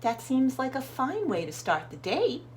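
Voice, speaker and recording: A little yellow bird of a schoolteacher , acted out by a woman from a children's storybook. She is cheerful and encouraging, gushing with theatrical emphasis. The pitch swings up and down freely and the words are stretched out slowly. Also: wildly swooping pitch, a little hiss or hum, exaggerated voice. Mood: cheery.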